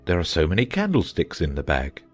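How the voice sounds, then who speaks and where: A man playing an eccentric, earnest, bumbling old knight in a dramatized audiobook, with a halting, fussy delivery. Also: sits low, wildly swooping pitch, soft background music, full character voice. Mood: earnest, proud. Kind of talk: dramatized audiobook character acting.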